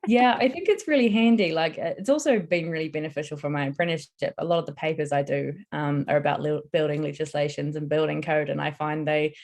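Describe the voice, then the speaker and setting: A woman's voice: sits low, steady tone, fast talker, few pauses, a little mellow. A young woman answering interview questions in a casual, earnest and enthusiastic way. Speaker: interviewee, construction apprentice.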